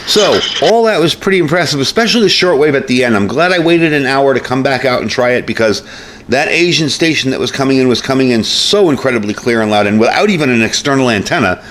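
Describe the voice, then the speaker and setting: A man's voice: mid-range voice, lively intonation, fast talker, fairly bright tone. A man giving a casual, chatty product review with informal, folksy phrasing. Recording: clear background noise.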